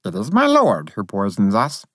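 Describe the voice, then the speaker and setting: A man's voice: mid-range voice, wildly swooping pitch, normal speed, no echo, clean studio sound. A man voicing a tiny, valiant fairy soldier who makes formal, grandiose declarations with earnest pomp, in a storybook reading. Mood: proud, dutiful. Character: tiny winged male fairy soldier, pompous, excitable, valiant.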